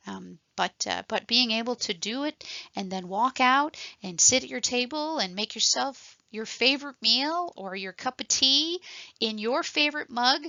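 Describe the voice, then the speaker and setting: A woman's voice: on the high side, bright, forceful tone, dry recording, muffled recording. A woman who works as a telephone triage nurse, giving a rambling, enthusiastic online presentation full of fillers and restarts. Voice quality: self-described voice like a frog puppet. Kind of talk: casual motivational presentation.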